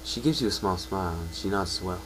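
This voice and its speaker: Low-voiced man narrating a story, faint hum. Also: quick pace, a bit bright, rough recording. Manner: reads with stumbles and self-corrections. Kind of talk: second-person story narration, dramatic reading. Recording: home recording with faint hum.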